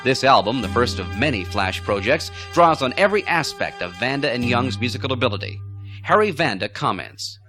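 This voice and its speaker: A man's voice: medium pitch, big pitch swings, a little fast. A man reading scripted narration for a radio documentary about music, as its presenter.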